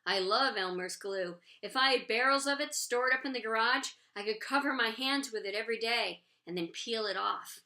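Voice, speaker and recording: A woman voicing an excitable, quirky schoolboy in a storybook, earnest and exuberant. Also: on the high side, normal speed, hardly any pauses. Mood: excited. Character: excitable, quirky schoolboy who loves tape and glue.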